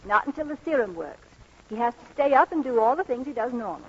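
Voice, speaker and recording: An actress playing an unruffled, gracious doctor wife in an old radio comedy, polite and gently dry. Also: female voice, on the high side, big pitch swings, fast, slightly rough, heavily acted. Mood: calm, sweet. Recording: muffled old radio broadcast recording.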